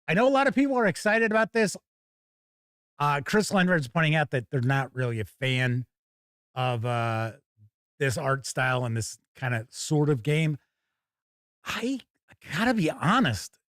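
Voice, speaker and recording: A man hosts a gaming livestream in a fairly high voice with very lively, swinging intonation. He moves between casual chat and reading news, with folksy, wry asides. The sound is dry, with no room echo. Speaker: host of a live tabletop gaming news show.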